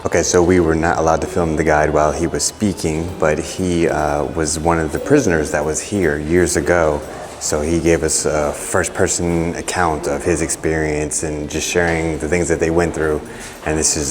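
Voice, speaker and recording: Very deep-voiced male travel vlogger. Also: little pitch movement, normal speed, crowd noise. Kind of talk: casual travel vlog narration to camera. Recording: music behind the voice.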